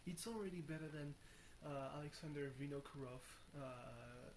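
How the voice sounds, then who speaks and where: A young man from France with a booming voice, rambling through a casual vlog from his bedroom, full of fillers and restarts, swearing now and then. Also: moderate pace, slight background noise, low-quality recording. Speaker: vlogger. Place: small bedroom with reverb.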